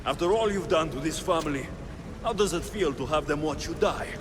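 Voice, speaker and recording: An actor playing a hot-tempered, blunt young Greek warrior, forceful and challenging, in a video game drama. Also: male voice, a little high, animated, brisk, noisy background, close to an everyday voice. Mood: accusing.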